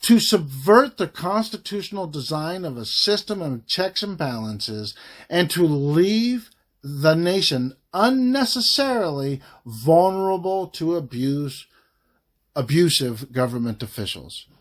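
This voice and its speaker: A man reading a formal legal document aloud in a measured, emphatic way, pausing phrase by phrase. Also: on the high side, very animated. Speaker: reader of a government report.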